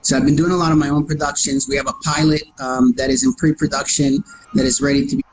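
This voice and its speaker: A man's voice: a little high, quick pace, a little hiss or hum, low-quality recording. A Canadian man with a slight New York accent, an actor chatting as a guest in a casual interview, friendly and often restarting his phrases.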